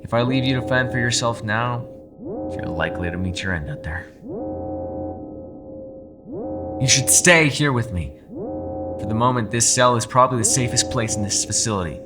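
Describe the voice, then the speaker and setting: A man voicing a proud, gentlemanly captive yokai in a dramatic ASMR roleplay, articulate and courteous yet demanding, speaking directly to the listener. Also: mid-range voice, expressive, a little fast, music in the background, lightly acted. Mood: serious, calm. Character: captive male yokai, gentlemanly, proud, protective telepathic prisoner. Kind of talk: ASMR audio roleplay, dramatic character acting.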